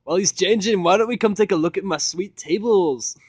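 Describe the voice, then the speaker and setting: A man's voice: high-pitched, a little fast, husky, no room sound, pitched above the performer's own voice. A man voicing a breezy, slangy adult female pony in an amateur fan fiction reading. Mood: inviting.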